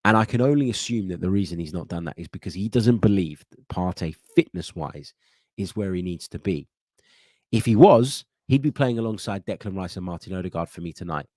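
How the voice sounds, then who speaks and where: A man's voice: deep voice, expressive, a little fast, warm tone, dry recording, studio quality. A man hosting a football channel, giving a casual match preview with a blocked nose, thinking aloud with fillers and restarts.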